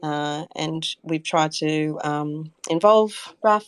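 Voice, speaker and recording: A woman's voice: low-pitched, slow, clean tone, no echo. A woman speaking as a community representative in a casual interview, explaining things in a hesitant way. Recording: online audio chat room.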